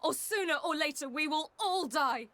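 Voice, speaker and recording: A woman's voice: high-pitched, expressive, moderate pace, pushed and bright, no room sound. A woman with a British accent playing a headstrong, fiery young warrior in a fantasy video game. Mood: angry, defiant. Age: young adult. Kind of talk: video game cutscene acting.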